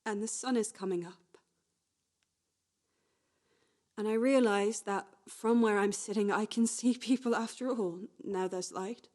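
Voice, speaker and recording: A woman playing a restless, wistful young woman in a confessional radio play monologue, speaking naturally in halting, repetitive phrases. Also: mid-range voice, natural voice. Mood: hushed, tender. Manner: halting, repetitive, swinging from hushed to agitated.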